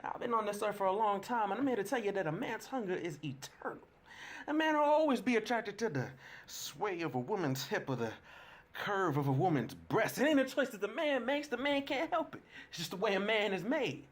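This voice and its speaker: An actor plays an older streetwise man holding forth in a stage monologue, pitched high and excitable. He is earthy and cocksure, hammering his points in folksy, emphatic vernacular. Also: fairly quick. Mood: cocky, amused.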